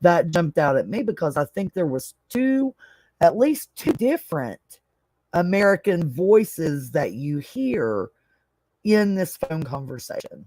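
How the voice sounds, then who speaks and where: A man's voice: on the high side, sing-song, measured pace, darkish tone, dry recording, low-quality recording. A man co-hosting a podcast, commenting conversationally on a recording. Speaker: podcast host. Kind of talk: casual discussion.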